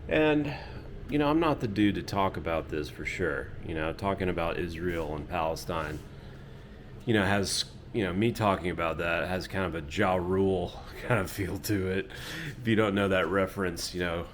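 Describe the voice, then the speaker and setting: A man's voice: deep voice, a little hiss or hum. A man from Florida talking casually to his camera outdoors at dusk, rambling and leaning on "you know" and "um". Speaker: travel vlogger. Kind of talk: casual reflective monologue to camera.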